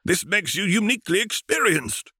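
A man's voice: high-pitched, sing-song, moderate pace, pushed and bright, husky, no room sound, studio quality, pitched above the performer's own voice, full character voice. A man voicing a stately reptilian alien Jedi master in a fan fiction reading, speaking formally and courteously. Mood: courtly, formal.

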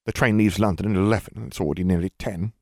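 A man voicing a tense, weary middle-aged aunt in an audiobook, speaking in a hushed, terse way. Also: low voice, steady tone, rapid-fire, no echo, clean studio sound. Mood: murmuring.

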